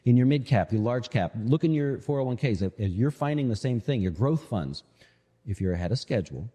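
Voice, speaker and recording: A man's voice: medium pitch, lively intonation, brisk, dark tone, husky. A man hosting a live financial show, giving casual, conversational market commentary peppered with rhetorical check-in questions, his voice echoing. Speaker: financial show host, investment manager. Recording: echoey hall-like reverb on voice.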